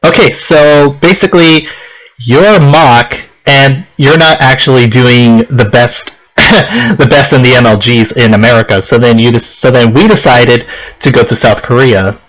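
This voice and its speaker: A man's voice: medium pitch, a little slow, a bit bright, rough recording. A man from Okinawa doing casual game commentary and reading on-screen text, in a rambling, self-deprecating, giggly manner. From Okinawa, Japan. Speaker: let's play host. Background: game music.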